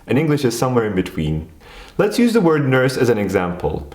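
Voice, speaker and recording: A man from Turkey, a native Turkish speaker, calmly narrating a scripted explainer video. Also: mid-range voice, fairly quick, roomy sound. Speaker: video essay host.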